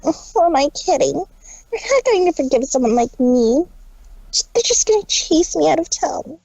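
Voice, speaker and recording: A woman's voice: high-pitched, big pitch swings, measured pace, gravelly, dark tone, no echo, highs cut off, pitched above the performer's own voice, full character voice. A woman voicing an anxious, insecure showmare pony, hesitant and fretful. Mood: fearful.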